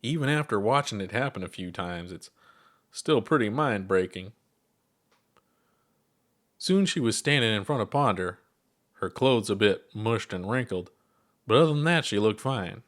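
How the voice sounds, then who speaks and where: Expressive man reading a story aloud. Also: fairly low pitch, normal speed, long pauses.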